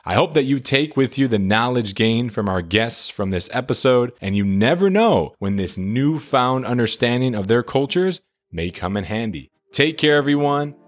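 A man's voice: medium pitch, animated, moderate pace. A man hosting an educational podcast, reading a scripted introduction and outro in an upbeat, announcer-like way. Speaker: podcast host.

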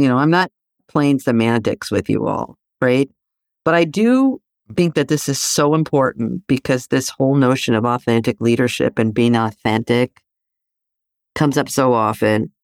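Solo podcast host raised in Greece, reflective and needling, middle pitch, dry close sound. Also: no room sound. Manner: provocative, poses rhetorical questions; conversational with frequent "you know, right?".

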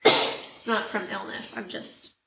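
A woman co-hosting a podcast, losing her voice, telling stories in a chatty, animated way. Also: slow pace, background noise. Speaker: podcast co-host. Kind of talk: casual chat. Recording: echoey room.

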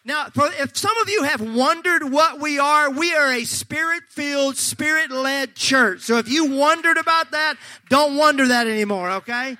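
A male pastor preaching a sermon, fervent and folksy, joking with the congregation and breaking into shouts. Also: very high voice, normal speed, hardly any pauses, dry recording. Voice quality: slightly gravelly.

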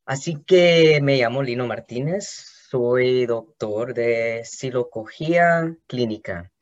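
Slow, hesitant man on a podcast. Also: mid-range voice, lo-fi sound. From Los Angeles, California. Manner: slow, hesitant, with many restarts. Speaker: podcast host. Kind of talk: personal monologue.

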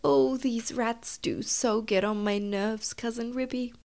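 A woman's voice: on the high side, expressive, unhurried, voice pushed up. A woman voicing a tearful, fretful mother cat in a dramatic storybook reading, with wailing exclamations. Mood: exasperated.

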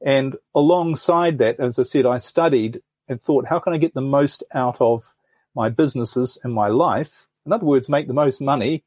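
A middle-aged man tells his story thoughtfully, as if working it out as he speaks. His voice is husky and a little breathy, and it sits mid-range. It is recorded close and dry, with no room sound. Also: medium pitch, moderate pace, a little mellow, dry recording. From New Zealand. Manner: earnest, thoughtful storytelling with frequent restarts. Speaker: podcast guest. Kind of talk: reflective life story in an interview.

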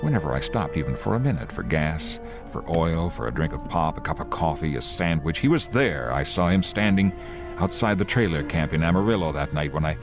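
Haunted lone driver narrating a suspense radio drama, male actor: extremely low, brooding and halting, music beneath. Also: sing-song, exaggerated voice. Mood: mounting dread. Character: haunted, lonely male driver recounting his terror.

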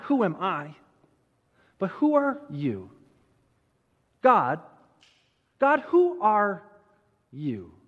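A man preaching a sermon, telling a Bible story conversationally with dramatic pauses and rhetorical questions. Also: high voice. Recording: muffled audio with long hall reverb.

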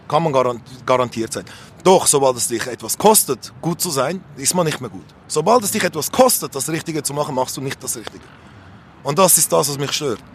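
Young man, slightly high voice. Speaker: street interviewee. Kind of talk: spontaneous opinionated street talk. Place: outdoors on an urban street. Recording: faint urban street and traffic noise.

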